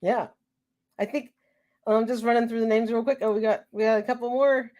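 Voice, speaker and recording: A woman's voice: a little high, fairly even intonation, brisk, a little mellow. A woman hosting a live show in casual chat, a little congested from a cold, laughing easily as she reads out viewer names.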